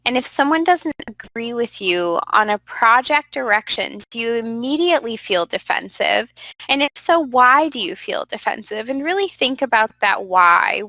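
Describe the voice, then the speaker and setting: A woman's voice: fairly high pitch, gravelly, pushed and bright, dry recording, rough recording. Upbeat woman teaching an online class. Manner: upbeat, encouraging, addresses class directly. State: stuffy from a cold. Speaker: instructor. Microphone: computer microphone.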